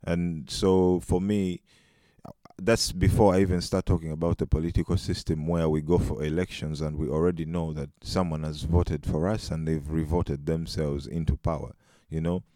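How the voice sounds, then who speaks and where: A man's voice: very deep voice, flat delivery, moderate pace, warm tone, dry recording. A man from Uganda with a Ugandan accent, reflecting in a discussion and posing rhetorical questions. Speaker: podcast guest.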